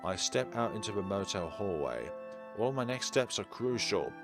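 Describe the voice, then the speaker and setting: A man streaming a visual novel, narrating and commenting in an expressive, emphatic way. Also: on the low side, moderate pace. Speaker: game streamer. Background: game music.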